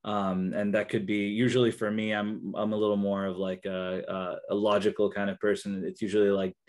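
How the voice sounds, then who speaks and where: A young man whose first language is Kannada speaks on a video call in a fairly low voice. He talks haltingly, with many ums and restarts, as an interview guest. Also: level tone. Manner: hesitant, many fillers and restarts. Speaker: interview guest, researcher and therapist.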